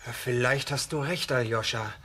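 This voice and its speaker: Husky, hot-headed peasant brother. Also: male voice, mid-range voice, normal speed, sharp tone. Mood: fed up. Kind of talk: German children's radio play acting.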